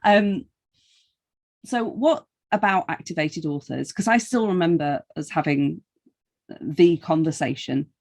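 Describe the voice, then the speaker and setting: A woman's voice: low voice, unhurried, a little mellow. A woman interviewing a podcast guest in a casual, conversational style with an informal, teasing manner.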